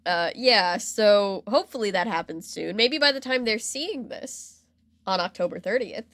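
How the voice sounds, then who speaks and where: A woman's voice: mid-range voice, animated, normal speed, fairly bright tone. A woman with a mid-range voice chats as a podcast co-host. She is informal and wry, with a playful streak. The sound is close and dry, with no room echo. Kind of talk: casual podcast chat.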